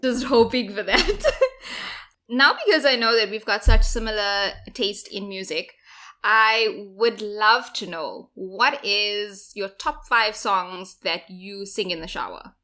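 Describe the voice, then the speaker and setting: A woman's voice: medium pitch, animated, takes it slowly, bright, forceful tone. A South African woman in her thirties hosting a podcast, chatting in a friendly way and laughing often. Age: 30s. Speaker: podcast host. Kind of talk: casual interview chat.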